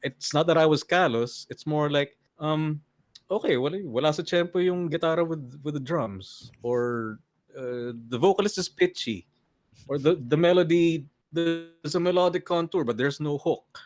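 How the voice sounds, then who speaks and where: An older man with a Filipino accent, a podcast guest talking in a deadpan way and mixing English with Tagalog in casual chat on a video call. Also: on the high side, normal speed, dry recording. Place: home room on a video call.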